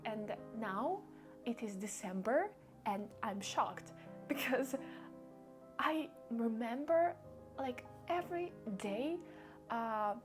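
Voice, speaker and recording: Slow-paced young woman with Russian accent. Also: a little high. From Belarus. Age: young adult. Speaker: vlogger. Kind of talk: casual personal storytelling to camera. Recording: music behind the voice.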